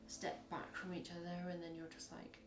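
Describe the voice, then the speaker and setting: A woman reads tarot in a rambling, chatty way with a husky, low voice. She acts out little scenes as she goes. The room around her is echoey. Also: completely flat delivery, moderate pace. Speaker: tarot reader. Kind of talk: casual tarot reading to camera.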